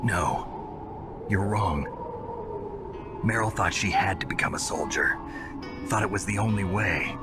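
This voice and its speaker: A legendary soldier in a game cutscene, brooding and blunt. His very deep voice gives terse, weary lines, with music clearly behind. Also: takes it slowly, long pauses. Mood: anguished. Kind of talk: video game cutscene acting.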